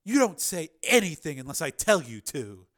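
A man voicing a commanding woman in an audiobook, speaking in an ominous, deliberate, teasing way. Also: medium pitch, animated, fairly bright tone.

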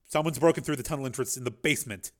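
A man voicing a young armed man in an audiobook, speaking loud and hurried. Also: medium pitch, voice pushed up, natural voice. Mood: urgent. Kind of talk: audiobook character reading.

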